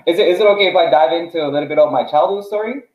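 A man's voice: a little high, a little mellow, echoey room, low-quality recording. A young man from South Korea, a startup founder telling his story as a podcast guest, with frequent restarts and fillers. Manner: halting, many restarts and fillers. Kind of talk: casual podcast interview.

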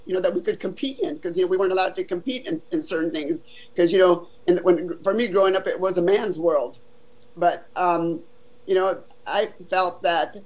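An older woman from Southern California with a deep voice, telling her life story in a casual, chatty interview full of "you know" fillers. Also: a little mellow, poor audio.

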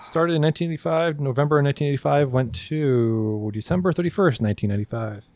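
A man's voice: fairly high pitch, fast, a little mellow. A man chatting casually as a podcast co-host. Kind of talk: casual chat.

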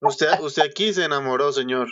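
A man's voice: medium pitch, a bit bright, no echo, highs cut off. A young adult man, asking questions and teasing the others in a casual chat. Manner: teasing, quick jokes.

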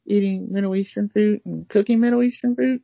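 A woman's voice: mid-range voice, fairly even intonation, a little fast, creaky, no room sound, dull audio. A woman from the United States chatting casually about learning languages, rambling with many fillers and restarts. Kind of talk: casual conversation.

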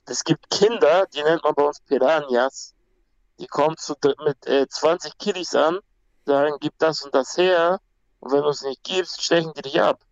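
A man's voice: fairly high pitch, normal speed, muffled recording. A young man from Peru, a guest talking casually and bluntly, with slang and many restarts. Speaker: guest, MMA fighter. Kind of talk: casual conversation.

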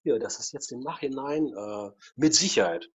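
Interview guest, forties, husky voice, rambling storyteller, muffled recording. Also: male voice, mid-range voice, animated, moderate pace. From former Soviet Union. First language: Russian. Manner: rambling with many fillers and tag questions. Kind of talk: casual personal storytelling.

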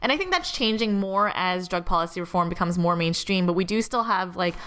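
A woman hosting a conversational interview, speaking in long, measured, articulate sentences. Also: lowish voice, lively intonation, fairly bright tone, clean tone. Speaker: podcast host, interviewer.

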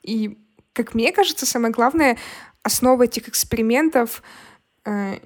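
Expressive young woman, higher-pitched voice. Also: moderate pace. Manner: expressive, informal, acts out her inner dialogue. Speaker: podcast guest, singer and content maker. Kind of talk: casual conversational interview answers.

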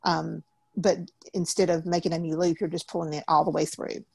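A woman's voice: sits low, level tone, a little fast, gravelly, a little mellow, slightly rough, no room sound. A woman in her forties patiently teaching a beginner loom knitting class over a video call, step by step. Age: 40s.

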